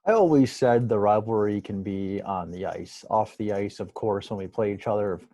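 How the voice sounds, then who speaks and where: American male interview guest, lower pitch, gravelly, casual conversational delivery, dry recording. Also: moderate pace, dark tone, dry recording, dull audio. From Metro Detroit, USA. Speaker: podcast guest, sports broadcaster.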